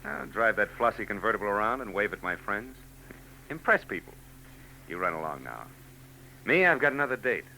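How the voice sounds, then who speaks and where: A man's voice: lowish voice, very animated, a little fast, long pauses. An actor playing a hard-boiled male private eye who narrates and trades wisecracks, in a 1940s radio drama. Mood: sardonic. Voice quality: dry, wisecracking. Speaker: narrator and lead. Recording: old radio broadcast tape with faint noise.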